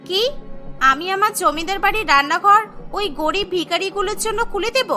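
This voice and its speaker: Spiteful landlord's daughter-in-law, cartoon, woman acting: haughty, sneering, extremely high-pitched, music beneath. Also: moderate pace, sharp tone. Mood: indignant. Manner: indignant, sneering.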